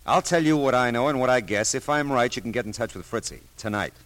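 An American actor playing a dry, persistent private detective in an old radio drama, with a wry, clipped, hard-boiled delivery. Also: male voice, mid-range voice, quick pace, fairly bright tone, no room sound, close to an everyday voice, low-quality recording. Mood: insistent. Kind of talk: 1940s-50s radio detective acting with first-person narration. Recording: old radio show, faint music.

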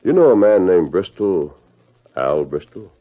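An actor playing a calm, commanding masked hero in an old radio western, measured and authoritative. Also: male voice, sits low. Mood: calm, probing. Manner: measured, authoritative, probing questions. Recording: dull old radio recording.

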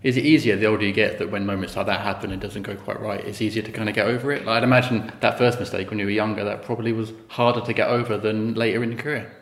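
Male podcast interviewer; quick, loosely phrased questions, echoey room. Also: on the low side, fairly even intonation.

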